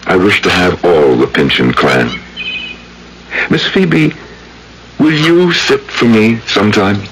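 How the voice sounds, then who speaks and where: An actor playing a brooding, enigmatic gothic portraitist and ghostly storyteller, theatrical and deliberate, in old-time radio drama. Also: male voice, lowish voice, expressive, fairly bright tone, a little hiss or hum, heavily acted, rough recording. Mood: courtly, enigmatic. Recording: old radio broadcast with music bed.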